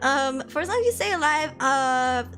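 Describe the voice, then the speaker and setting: A woman's voice: high voice, expressive, normal speed, a bit bright, faint music behind. A young woman from Malaysia, chatting casually on a stream, reading viewer comments and laughing often.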